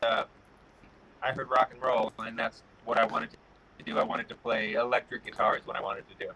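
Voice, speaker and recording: A middle-aged man from Connecticut answering interview questions in a relaxed way, pausing often with fillers. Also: medium pitch, rough recording. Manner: relaxed, pauses with many "uh" fillers. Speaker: guest, guitar builder.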